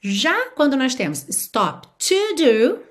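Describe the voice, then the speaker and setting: A woman from Rio de Janeiro teaches an English lesson in an animated voice that sits a little high. She explains step by step and keeps asking "certo?" to check in. She slows down sharply whenever she models an English phrase. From Brazil.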